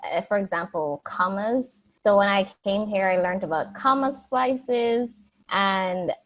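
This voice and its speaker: A woman's voice: mid-range voice, measured pace, poor audio. Woman chatting as a guest. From Jamaica. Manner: chatty, frequent restarts and fillers. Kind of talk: casual interview answers.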